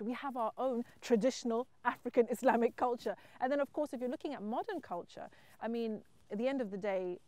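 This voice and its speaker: A woman's voice: fairly high pitch, a little mellow, no room sound. Earnest woman from Zimbabwe, reflective. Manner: earnest, reflective, emphatic at times. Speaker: writer and entrepreneur. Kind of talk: personal interview monologue. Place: wheat field outdoors.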